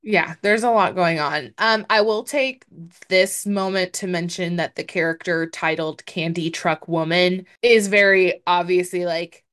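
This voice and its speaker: A young adult woman with a deep voice for a woman, co-hosting a podcast in chatty casual talk full of fillers. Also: measured pace, fairly bright tone. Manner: chatty, hedging with many fillers. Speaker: podcast co-host. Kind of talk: casual chat.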